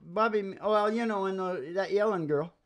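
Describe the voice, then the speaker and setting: A woman's voice: mid-range voice, a little fast. A woman hosting a casual radio show, rambling in a folksy, salty, blunt way. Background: acoustic guitar.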